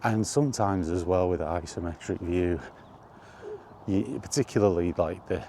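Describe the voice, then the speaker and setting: A middle-aged man chatting casually and reminiscing in a halting, thinking-aloud way, in short rambling phrases. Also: sits low, warm tone, a little hiss or hum. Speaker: video creator and host. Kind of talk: casual reminiscing monologue about retro games. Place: outdoors while walking.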